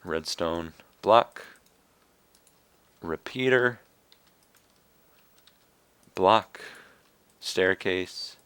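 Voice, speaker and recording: A young man with a raspy, slightly hoarse voice, casually explaining a game build step by step with fillers. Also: low voice, very slow, pauses often, a bit bright, dry recording. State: hoarse from coughing. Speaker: video game tutorial maker. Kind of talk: casual screen-recorded game tutorial.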